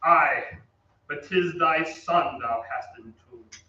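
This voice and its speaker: Demanding gentleman duelist for radio drama, male actor, bright and declamatory, heard from off on a reverberant stage. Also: fairly high pitch, slow pace, full character voice. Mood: alarmed. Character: challenging, swaggering gentleman duelist knight. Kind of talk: old-time radio acting. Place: theatre stage. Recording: echoey stage, distant mic, dull, faint noise.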